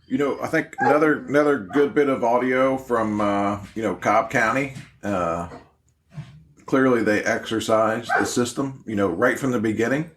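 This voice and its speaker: A man's voice: mid-range voice, normal speed, fairly bright tone, slight background noise. A man explaining and reviewing in an informal way, like an instructor, often checking in with "right?". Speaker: podcast host and incident command instructor. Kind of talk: casual spoken analysis of fireground radio traffic.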